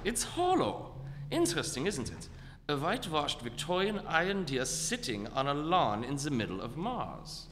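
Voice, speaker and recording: A man playing a wry, inquisitive space expedition doctor in a radio play, speaking in a measured way on stage. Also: fairly high pitch, wildly swooping pitch, a bit bright, faint music behind. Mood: amused. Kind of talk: old-time radio play stage acting. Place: theatre stage before an audience. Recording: noisy, reverberant theatre hall.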